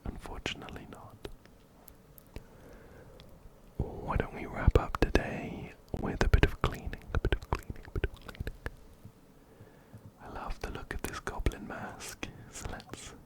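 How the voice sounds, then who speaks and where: A man whispering softly and breathily into a close binaural mic as he hosts an ASMR game playthrough, unhurried and often repeating words and phrases. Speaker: ASMR video host. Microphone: close-up binaural mic, ear to ear.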